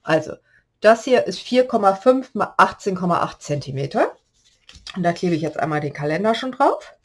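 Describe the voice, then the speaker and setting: A woman with a slight cold-hoarse voice, chatting through a step-by-step paper-craft tutorial and explaining the measurements as she works. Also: medium pitch, big pitch swings, moderate pace. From Germany.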